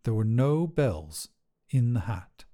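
A man narrating a scripted short story for a podcast in measured, deliberate phrases with pauses. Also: medium pitch, very animated, dark tone.

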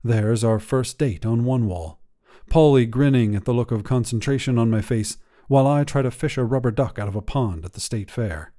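Male host-narrator, mellow and even-toned, measured storytelling delivery. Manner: measured, low-key first-person storytelling. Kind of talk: scripted fiction narration.

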